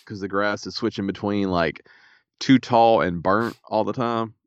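Very low-voiced stuffy man. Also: steady tone, measured pace, dry recording, dull audio. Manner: laid-back, dry humor. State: stuffy, a little raspy. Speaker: podcast co-host. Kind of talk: casual chat.

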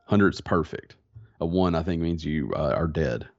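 Deep-voiced stuffy man, dull recording. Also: normal speed. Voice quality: congested, stuffy nose. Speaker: podcast co-host. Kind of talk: casual chat. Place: small home office in a converted barn. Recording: dull, lo-fi sound.

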